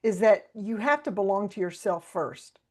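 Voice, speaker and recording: A woman's voice: medium pitch, normal speed, a little mellow. A woman hosting an interview podcast, thoughtfully affirming her guest's point before asking a question. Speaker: podcast host. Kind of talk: conversational interview.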